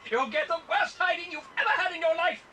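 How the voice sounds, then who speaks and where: A blustering, self-important man in a children's adventure tape drama. The voice is shrill and very high, full of wounded dignity. It sounds distant, with faint cassette hiss. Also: fast, pushed and bright. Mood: indignant. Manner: pompous, blustering. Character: flustered indignant adult man, pompous comic birdwatcher, caught in a hole.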